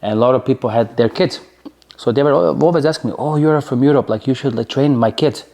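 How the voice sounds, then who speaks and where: A man's voice: medium pitch, fast, gravelly, mellow. A man in his forties with a Slovenian accent, telling his story in an interview in a chatty way, full of fillers and tag questions. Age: 40s. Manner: chatty, many fillers, keeps asking "right?". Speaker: interview guest, former soccer player.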